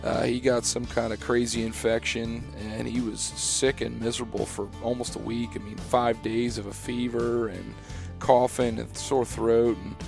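A young man hosting a podcast, hoarse and losing his voice, talking in a loose, rambling way with many fillers and restarts. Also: medium pitch, flat delivery, moderate pace, over music. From Pennsylvania. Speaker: podcast host. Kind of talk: casual chat.

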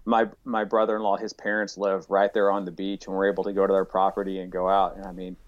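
Middle-aged man, rambling easygoing guest, lowish voice on dull lo-fi audio. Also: completely flat delivery, fast talker. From Arkansas. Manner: folksy, rambling, many fillers. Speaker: guest, rifle company owner. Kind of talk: casual interview chat.

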